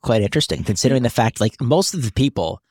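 A man hosting a casual studio interview, speaking in a somewhat halting way. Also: medium pitch, expressive, darkish tone, no room sound. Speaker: host, interviewer. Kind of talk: casual chat.

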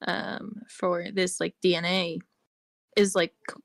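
A woman's voice: on the low side, little pitch movement, slow, gravelly, no room sound, studio quality. A woman being interviewed on a podcast, explaining at length in a casual way, with frequent "like" and "um". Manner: explains at length, with many fillers like "like" and "um". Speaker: interview guest.

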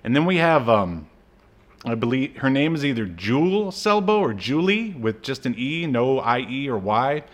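A man co-hosting a podcast in casual film and TV chat, a native English speaker with a jokey, chuckling, halting delivery. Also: medium pitch, sing-song. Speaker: podcast co-host.

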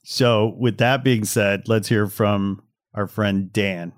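A man with a fairly low voice hosts a podcast, recorded close and dry. He comments casually and wryly, addressing listeners directly as if in conversation. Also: steady tone, takes it slowly, no echo. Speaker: podcast host.

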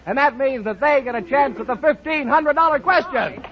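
A man's voice: extremely high pitch, animated, normal speed, lo-fi sound, very noisy. A man announcing a 1950s radio show in a scripted style, heard on an old broadcast recording.

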